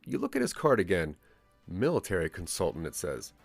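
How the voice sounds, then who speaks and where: A man's voice: sits low, huge pitch swings, a little fast, creaky, soft background music. A man narrating a scripted second-person story for an interactive audio drama.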